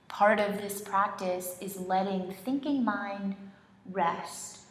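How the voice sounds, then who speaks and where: A woman leading a guided meditation in an indoor studio, speaking in gentle, unhurried phrases with long pauses. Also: mid-range voice. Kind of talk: slow guided relaxation meditation, soothing instruction. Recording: roomy, echoing studio sound.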